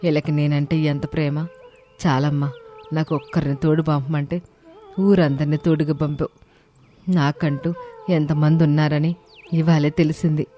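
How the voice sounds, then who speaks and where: A woman voicing a kindly, grateful old village grandmother in an animated moral story. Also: sits low, moderate pace, pauses often, voice pushed down, lightly acted. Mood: touched, grateful. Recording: music behind the voice.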